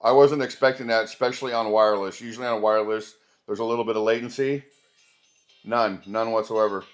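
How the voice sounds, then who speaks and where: A man's voice: lowish voice, fairly even intonation, a little fast, no echo, soft background music. A man hosting a gear review vlog, chatting informally and directly to his viewers. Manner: informal, conversational, talks to viewers. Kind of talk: casual product review chat to camera.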